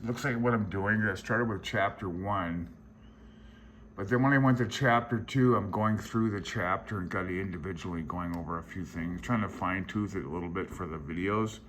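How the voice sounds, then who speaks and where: Low-voiced folksy man, casual vlog. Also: fairly bright tone, a little hiss or hum. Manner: folksy, self-deprecating. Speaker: hobbyist radio channel host. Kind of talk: casual rambling vlog talk.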